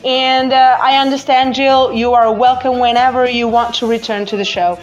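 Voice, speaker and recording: A woman from Portugal with a Portuguese accent, a native Portuguese speaker, hosting a casual live call-in show in a halting way with repeated words. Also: on the high side, fairly even intonation. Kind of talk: casual live chat with listeners. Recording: dull lo-fi app audio with music. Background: music.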